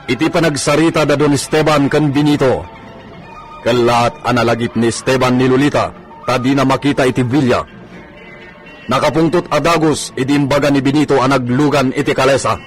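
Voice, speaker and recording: A man's voice: mid-range voice, fairly even intonation, fairly quick, soft background music. A man narrating a serial radio drama in a scripted, dramatic style, addressing the listeners.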